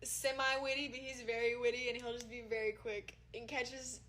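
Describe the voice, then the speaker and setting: A woman's voice: a little high, flat delivery, normal speed, a bit bright, slight background noise. A woman in her twenties, chatting casually on a phone livestream from her room, rambling and swearing freely. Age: 20s. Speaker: social media creator and DJ. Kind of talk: casual livestream chat to viewers. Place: her room at home, indoors.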